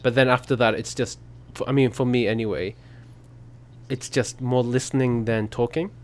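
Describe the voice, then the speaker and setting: Easygoing man, mid-pitched. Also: steady tone, moderate pace. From United Kingdom. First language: English. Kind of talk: casual podcast chat.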